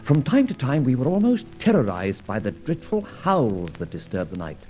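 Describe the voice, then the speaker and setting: Suave male adventurer sleuth, radio drama, mellow and slightly rough voice, wry theatrical delivery, muffled vintage sound. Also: medium pitch, huge pitch swings, normal speed. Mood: grave narration. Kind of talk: old radio drama acting with scripted narration. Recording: muffled old radio recording with music.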